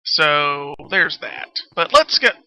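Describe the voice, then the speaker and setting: A man's voice: on the high side, big pitch swings, takes it slowly, pushed and bright, clean tone, dry recording, low-quality recording. A man chatting casually as he hosts a game livestream, rambling along in a self-deprecating, sweary way. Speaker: game streamer, host. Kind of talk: casual livestream chat while playing a game.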